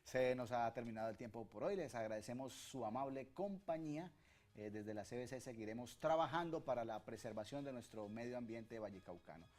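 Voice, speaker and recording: A man's voice: mid-range voice, a little fast, darkish tone. Formal man hosting a televised panel. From Colombia. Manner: formal, courteous. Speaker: host. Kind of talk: moderating a televised teleconference.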